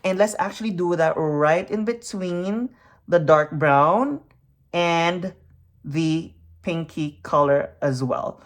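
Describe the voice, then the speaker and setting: A woman from the Philippines giving a makeup tutorial, upbeat and hyper, tossing in peppy "you know what?" and "yes!" asides between encouraging thoughts. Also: lowish voice. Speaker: makeup vlogger. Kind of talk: makeup tutorial with motivational talk.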